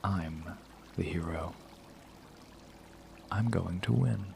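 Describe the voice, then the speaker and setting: An actor playing a secretive young man who claims to be the hero, speaking softly and insinuatingly in an audio drama. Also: low-pitched, takes it slowly, vocal fry, slight background noise, exaggerated voice. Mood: confiding. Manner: soft, insinuating, confiding.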